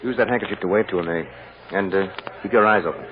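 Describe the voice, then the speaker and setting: An actor playing a shrewd, calm defense lawyer with a measured, persuasive delivery in 1940s radio drama style. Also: male voice, lowish voice, natural voice. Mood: gentle, coaxing. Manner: measured and deliberate, gently coaxing or drily firm. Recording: muffled, hissy old radio recording with hall echo.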